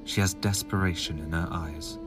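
Male narrator for audio drama, low-pitched, scripted scene-setting, music underneath. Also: steady tone, moderate pace.

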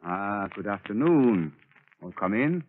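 A menacing mind-control villain. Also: male voice, fairly low pitch, big pitch swings, a little slow. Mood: sly. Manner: smooth, menacing, theatrical. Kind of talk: 1930s radio drama acting. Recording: tinny old radio broadcast recording.

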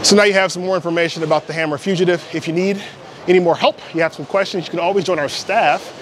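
Higher-voiced American man, noisy bowling alley. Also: fairly even intonation, fast talker. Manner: conversational, explanatory, talking in short bursts while bowling. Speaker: bowling company CEO, product reviewer. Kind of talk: casual product review and demonstration. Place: large indoor bowling alley. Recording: clattering bowling alley noise. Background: bowling alley clatter and ambience.

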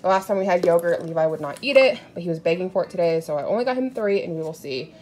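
A woman's voice: fairly low pitch, lively intonation, fast, a little mellow. An American woman in her thirties chatting casually and candidly to camera in a rambling, conversational way, recorded on a handheld phone at home. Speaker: home vlogger. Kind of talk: casual chat showing groceries to camera. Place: indoors in a home kitchen. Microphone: handheld phone at arm's length. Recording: clearly noisy home recording, crinkling packaging.

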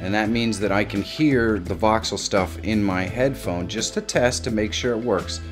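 Low-voiced middle-aged man. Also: moderate pace, music in the background. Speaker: tutorial host. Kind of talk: casual step-by-step software tutorial. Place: small home room, indoors.

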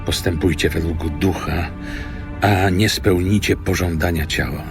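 A very deep, creaky voice speaks slowly over clear background music. It is an earnest, stern apostle, grave and emphatic, delivering an audio Bible monologue. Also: male voice, steady tone. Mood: stern warning. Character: stern, earnest apostle teaching his followers.

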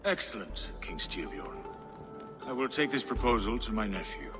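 A man's voice: fairly low pitch, sing-song, moderate pace, over music. A man voicing a courteous Viking envoy in a video game, speaking formally and with deference. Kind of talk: dramatic video game cutscene acting.

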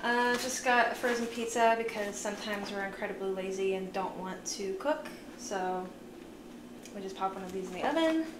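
A woman vlogger chatting casually through a grocery haul, relaxed and full of fillers, sounding tired. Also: medium pitch, normal speed. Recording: echoey room with noise.

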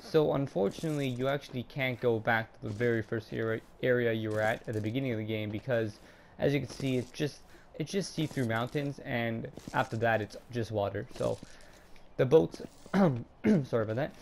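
A young man narrating a gameplay walkthrough casually, hesitating a lot with ums and restarts, his throat a little raspy. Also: mid-range voice, a little hiss or hum. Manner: halting, many ums and restarts. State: slightly raspy throat, clearing it. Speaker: gaming YouTuber.

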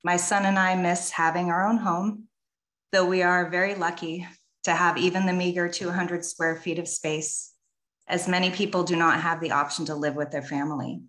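Woman, from Washington State, public commenter, lowish voice, measured reading, echoey call. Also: little pitch movement, a bit bright. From Washington State, USA. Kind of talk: prepared public comment read aloud. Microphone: video call.

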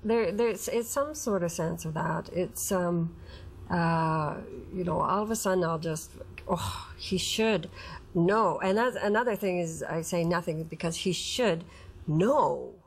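A middle-aged woman speaking candidly and hesitantly about personal matters as an interview guest. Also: fairly low pitch. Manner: hesitant, halting. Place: indoor studio living-room set. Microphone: lapel mic.

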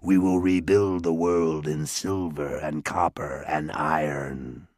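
A haughty dwarf lord, as a narrator puts him on, rumbling at the very bottom of his range. He drags every word out slowly and menacingly, savoring his power. The storybook voice is recorded dry and close. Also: flat delivery, a little mellow, no echo, heavily acted. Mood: gloating. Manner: slow, gloating and menacing. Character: haughty, scheming dwarf lord, male, adult. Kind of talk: audiobook character voice.

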